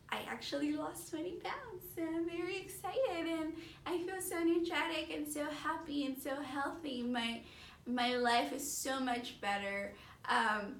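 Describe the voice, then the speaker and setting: A woman of thirty-two from Mexico City and the Bay Area, giving an earnest, unscripted personal testimonial in a conversational, emphatic way. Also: high-pitched. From born in Mexico City, grew up in the San Francisco Bay Area. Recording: echoey room, distant mic, faint noise.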